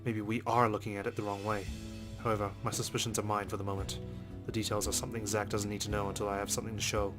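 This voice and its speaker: A man's voice: on the low side, level tone, quick pace, few pauses. A man hosting a let's play, reading a visual novel's first-person narration aloud. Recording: music under the voice. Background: game music.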